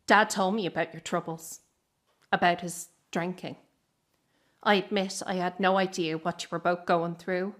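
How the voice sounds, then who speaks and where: Low-voiced, resentful young daughter played by an actress. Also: female voice, fairly even intonation, normal speed, lightly acted. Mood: conceding. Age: young adult. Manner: blunt, reproachful. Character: hurt, resentful grown daughter confronting her mother. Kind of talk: radio drama acting.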